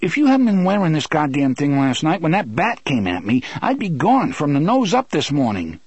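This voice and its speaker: A man voicing a truculent, sassy woman in an audiobook, sharp-tongued and colloquial. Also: on the high side, wildly swooping pitch, moderate pace, slightly rough, dry recording, pitched above the performer's own voice, exaggerated voice.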